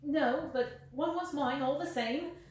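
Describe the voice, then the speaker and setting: A woman's voice: high-pitched, measured pace, a little mellow, voice pushed up. A woman voicing a nervous, rat-faced little man who whines and quavers, recorded from a distance in a roomy space with faint hiss. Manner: quavering, whining.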